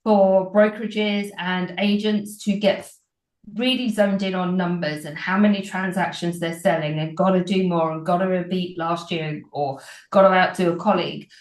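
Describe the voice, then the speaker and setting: A woman's voice: fairly low pitch, steady tone, moderate pace. A woman in her forties, an agency owner, giving earnest interview answers full of ums and restarts. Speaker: real estate agency owner and broker. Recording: roomy echo.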